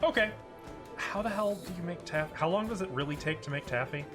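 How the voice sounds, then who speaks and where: A man's voice: a little high, expressive, a little fast. A man acting as game master, improvising and talking casually with the players at a tabletop game. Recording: music playing behind the voice. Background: music.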